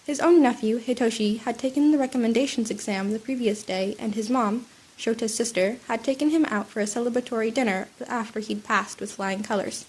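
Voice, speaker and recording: A woman's voice: on the high side, brisk, slightly rough, poor audio. Sniffly woman reading a fan story. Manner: soft, with small restarts and fillers. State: sick, slightly sniffly. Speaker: podfic reader. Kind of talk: reading fan fiction aloud.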